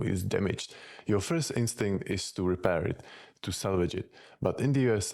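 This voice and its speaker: A man's voice: low-pitched, creaky, darkish tone. A man from the Republic, giving blunt, dismissive reaction commentary. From Czech Republic. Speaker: reaction video host.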